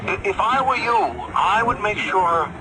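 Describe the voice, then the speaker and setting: A man's voice: sits high, measured pace, over music, rough recording. An actor playing an anxious young man in a radio drama, urgent and hesitant through a telephone filter. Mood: urgent, worried. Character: anxious young male scientist warning by telephone. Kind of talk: 1970s radio drama acting.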